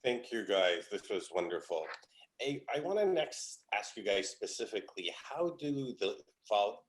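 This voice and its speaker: A man's voice: low voice, fairly bright tone, low-quality recording. A man moderating an online panel, asking open, inviting questions. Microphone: video call.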